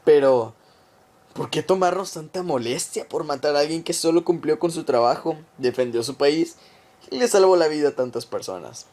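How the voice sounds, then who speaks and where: A man playing a cold government conspirator in a radio drama, confessing a plot to his victim in a deliberate, taunting, menacing way. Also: a little high, lively intonation, a little mellow, natural voice. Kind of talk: amateur radio drama monologue.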